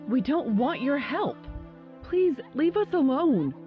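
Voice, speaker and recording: A muffled, scared young mother, in an audio drama. Her high voice moves a lot in pitch as she defends her privacy. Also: sing-song, takes it slowly, faint music behind, exaggerated voice. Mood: frightened, pleading. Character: frightened, defensive mother hiding in hotel room.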